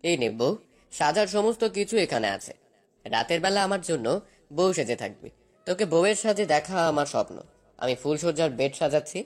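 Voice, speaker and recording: A man's voice: a little high, animated, brisk, natural voice. A man playing a cruel, obsessive young husband in a romantic audio drama, with a taunting, commanding manner.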